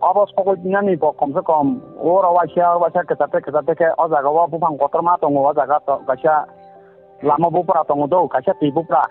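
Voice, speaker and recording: A man talking on a phone call in a halting way, repeating words and phrases. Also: fairly high pitch, fairly even intonation. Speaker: caller. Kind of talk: phone conversation. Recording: muffled phone call recording, faint music behind.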